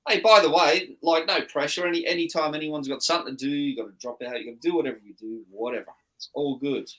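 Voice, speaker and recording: Bright-voiced Australian man, hint of Scottish. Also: a little high, fast talker, low-quality recording. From Scotland. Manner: easygoing and chatty. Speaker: host. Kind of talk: casual chat.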